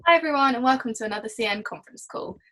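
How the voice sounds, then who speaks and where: A woman's voice: on the high side, very fast, a bit bright, soft background music. A woman working as a reporter, hosting an interview over a conference call. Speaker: interviewer, trade magazine reporter. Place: remote video call.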